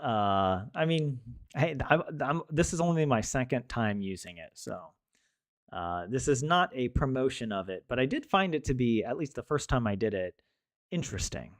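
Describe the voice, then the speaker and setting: Young man, casual chat, joking, very lively pitch swings, dry close sound. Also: moderate pace, no room sound. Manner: animated, joking, laughs often, rambling restarts. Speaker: language learner streaming to viewers. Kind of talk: casual chat with viewers while studying.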